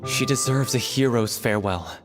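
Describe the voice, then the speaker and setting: A man's voice: medium pitch, moderate pace, over music, close to an everyday voice. An actor playing an earnest, dignified man of a theatre troupe in a video game, speaking solemnly.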